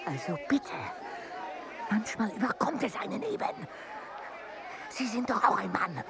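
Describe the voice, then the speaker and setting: A man's voice: high voice, big pitch swings, a little slow, vocal fry, a crowd in the background, full character voice. An actor playing an easygoing, lustful French assistant who recounts his travels wryly in a radio play. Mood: defensive. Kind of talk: German radio play acting and narration.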